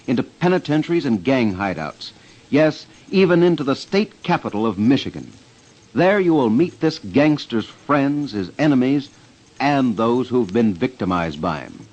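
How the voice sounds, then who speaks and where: A man with an American accent narrates like a 1940s crime radio host, grave and emphatic. His pitch swings widely for drama, and the recording is dull, like an old broadcast. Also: darkish tone.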